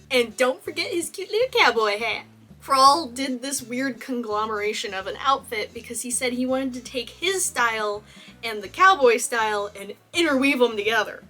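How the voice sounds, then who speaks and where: A young woman from Texas talks through a film's costumes in a casual, enthusiastic way, laughing often. Her voice sits a bit high and sounds bright and forward. Soft music plays faintly beneath her. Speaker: cosplayer and video host. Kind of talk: casual movie costume analysis.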